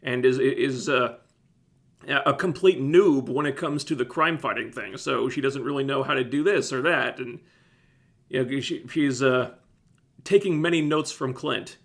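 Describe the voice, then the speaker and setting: A man with a slightly scratchy, phlegmy throat chats with viewers on a livestream. His pitch sits in the middle for a man, and he talks in an easygoing, meandering way, often starting a sentence over. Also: a little slow. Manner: relaxed, rambling, self-interrupting. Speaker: livestream host reviewing TV shows. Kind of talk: casual livestream chat answering viewers.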